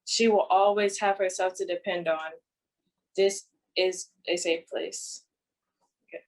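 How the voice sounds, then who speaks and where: A woman's voice: fairly low pitch, steady tone, unhurried, a little mellow. A woman reading her own poetry aloud as a spoken-word recital. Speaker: poet reading own work.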